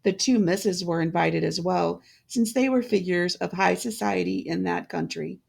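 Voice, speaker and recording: A woman narrating a fairytale in a measured, deliberate way, with a gravelly, creaky voice. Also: fairly low pitch, a little mellow. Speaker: storyteller.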